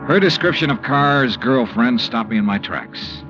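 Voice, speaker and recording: An actor playing a hard-boiled insurance investigator who narrates and questions people in a dry, clipped, matter-of-fact way, in 1950s radio drama style. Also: male voice, medium pitch, animated, measured pace, natural voice. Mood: narrating. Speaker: narrator and lead detective. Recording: muffled old radio broadcast over music.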